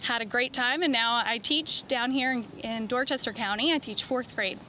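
A woman's voice: on the high side, moderate pace, pushed and bright. A young woman from New Jersey giving informal interview answers outdoors as a camp director. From New Jersey, United States. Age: young adult. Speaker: sailing camp director, schoolteacher. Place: outdoors on a waterfront dock. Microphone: interviewer's handheld microphone. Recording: outdoor sound with faint noise.

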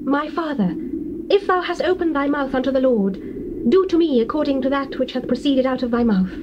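High-voiced, dutiful biblical daughter. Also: female voice, expressive, brisk. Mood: sorrowful, resigned. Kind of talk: dramatized scripture reading, classical stage delivery. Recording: muffled old cassette, clear hiss.

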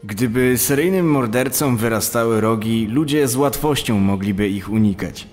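A man playing a criminology professor in a measured, reflective dramatized documentary quote. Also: medium pitch, a little mellow, close to an everyday voice. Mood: sober, reflective. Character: male criminology professor reflecting soberly on killers. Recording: long reverb over music. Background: music.

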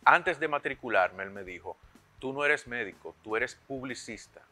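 A man's voice: medium pitch, expressive, normal speed, pushed and bright, soft background music. A middle-aged man with a Dominican accent, explaining himself conversationally as an interview guest in a television studio. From Dominican Republic. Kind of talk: conversational interview, telling his own story.

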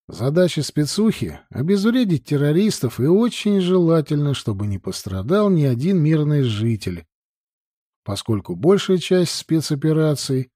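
A man narrating a military action audiobook in a measured, dramatic way, phrase by phrase. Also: on the high side, warm tone, no room sound, clean studio sound.

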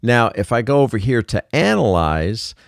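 A man's voice: medium pitch, sing-song, moderate pace, gravelly, no room sound, clean studio sound. A man narrating a casual music production tutorial, explaining things informally step by step.

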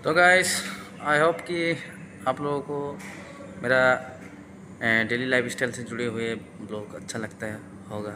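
A man's voice: mid-range voice, fairly even intonation, slow, pauses often. A man in his thirties with an Indian accent, chatting casually and informally to viewers as a vlogger, recorded on a phone held at arm's length. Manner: informal, addresses viewers as friends. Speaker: vlogger and factory worker. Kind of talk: casual vlog chat to camera. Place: tea factory halls and yard. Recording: noisy factory ambience, phone recording.

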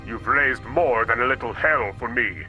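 An actor playing a cold, condescending male corporate villain who makes quiet threats, in an audio drama. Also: mid-range voice, moderate pace, highs cut off, soft background music, lightly acted. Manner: smooth, condescending, coolly threatening.

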